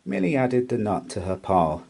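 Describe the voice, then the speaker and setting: A man reads a children's story aloud as narrator. His voice is mellow and husky, a little on the low side. He tells it expressively, with lots of melodic movement. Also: very animated, moderate pace.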